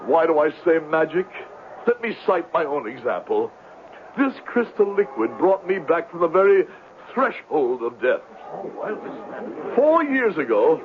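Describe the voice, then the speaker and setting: A pompous, silver-tongued swindler from a radio play. His delivery is florid and theatrical, with pitch that sweeps widely. The sound is a tinny, noisy old seventies broadcast. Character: smooth-tongued, grandiloquent male con man and medicine-show pitchman. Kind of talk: 1970s radio drama acting.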